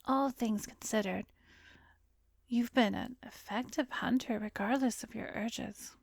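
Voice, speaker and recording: Casting: actress for arrogant vampiress therapist in ASMR roleplay. Slow, drawn-out, teasing and hypnotic, breathy whispers, intimate dry sound. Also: female voice, a little high, dry recording. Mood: smug, teasing. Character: arrogant, seductive female vampire posing as a therapist.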